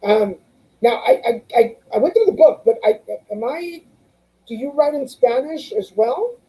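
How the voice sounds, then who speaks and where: A woman with a very warm, dark, mellow tone hosts a casual interview show. She asks her guest curious questions in an easy, conversational way. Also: on the high side, animated, a little slow, rough recording. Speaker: show host.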